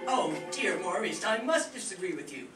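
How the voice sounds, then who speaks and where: An imperious old family matriarch holds forth with regal theatricality, played by a man. The voice is high for a man and sits in an echoey space with music behind, in radio soap style. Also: sing-song, normal speed, recorded from a distance. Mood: indignant. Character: imperious, haughty aged matriarch grandmother. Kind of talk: radio soap opera parody acting.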